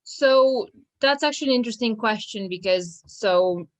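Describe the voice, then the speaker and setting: A woman's voice: on the high side, moderate pace, no room sound. A young woman from Romania, a law firm's business manager, talking casually in an interview, hesitant with many fillers and restarts.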